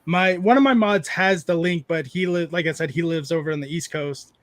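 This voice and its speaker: A man's voice: on the high side, brisk, no echo. A man in his thirties hosting a casual livestream, rambling and joking while he reads out chat messages. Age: 30s. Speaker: livestream host.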